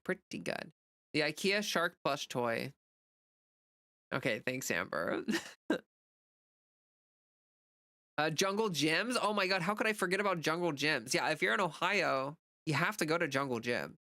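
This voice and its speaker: A woman's voice: low-pitched, lively intonation, measured pace, pauses often, bright, forceful tone, no echo, studio quality. An American woman livestreamer chatting casually and off the cuff, rambling informally as she answers viewer comments. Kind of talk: casual unscripted chat.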